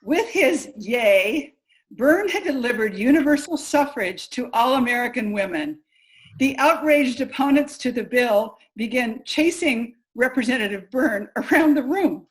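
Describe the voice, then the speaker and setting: A woman performing theatrical scripted narration as part of a reader's theater, with a dramatic, deliberate delivery. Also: a little high, animated, rough recording.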